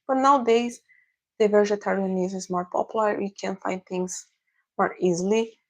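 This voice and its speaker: A young Brazilian woman with a Portuguese accent, answering viewers casually on a livestream in careful, halting English. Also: mid-range voice, fairly even intonation, a little mellow. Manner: halting, searching for English words. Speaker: livestream host.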